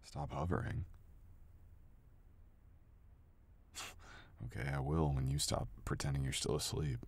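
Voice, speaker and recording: A man's voice: rumbling low, level tone, moderate pace. A man playing someone who confronts a friend and then confesses his love, in an intimate audio roleplay addressed to the listener. He drops into murmured whispers, then raises his voice sharply, and swears often. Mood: teasing.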